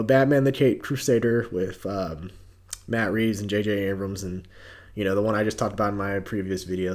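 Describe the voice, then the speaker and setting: Young american guy, medium pitch, chatting casually to camera about entertainment news, kinda slangy and hesitant. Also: darkish tone. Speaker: YouTube host reviewing entertainment news.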